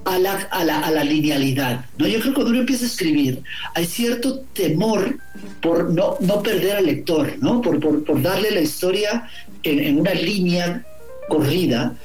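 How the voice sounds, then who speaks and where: A man's voice: sits high, expressive, moderate pace, a little mellow, faint music behind. Hesitant middle-aged man in an echoey room. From Guatemala. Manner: hesitant, repeats words while searching for phrasing. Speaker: author, interview guest. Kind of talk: reflective conversation. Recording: roomy echo.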